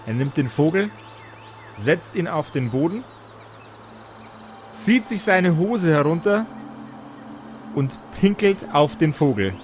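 A man narrating as game master of a tabletop role-playing audio play, improvising descriptions in deliberate phrases with pauses. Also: on the high side, a little hiss or hum.

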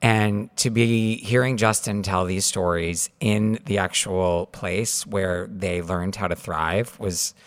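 A man's voice: sits low, fairly even intonation, takes it slowly, few pauses. A man hosting a podcast, chatting enthusiastically and playfully while wrapping up an episode. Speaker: podcast host. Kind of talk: casual podcast wrap-up chat.

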